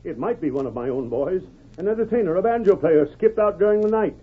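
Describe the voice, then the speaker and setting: An actor playing a slippery, blustering medicine-show doctor in an old-time radio western, theatrical and emphatic. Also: male voice, high-pitched, animated, fast talker, slightly rough. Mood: indignant. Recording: tinny old radio broadcast recording.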